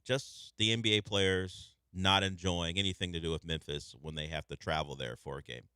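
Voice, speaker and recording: Male radio host, low voice, bright and crisp tone, dry close studio mic, measured conversational delivery. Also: fairly even intonation, dry recording. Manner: conversational, measured, thinking aloud. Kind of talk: sports radio talk. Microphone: studio microphone.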